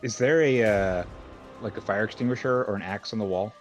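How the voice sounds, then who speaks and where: A man's voice: on the low side, brisk. A man playing a tabletop roleplaying game, describing his character's actions step by step. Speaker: player. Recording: music behind the voice. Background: music.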